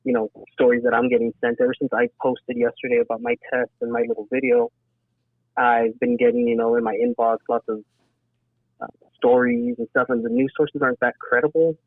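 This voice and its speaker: A man's voice: mid-range voice, lively intonation, fairly quick, creaky, dry recording. Man on a thin phone-like line. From south Texas, United States. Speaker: interview guest, emergency dispatcher. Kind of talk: casual personal account in a podcast interview. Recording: thin phone-like band-limited sound.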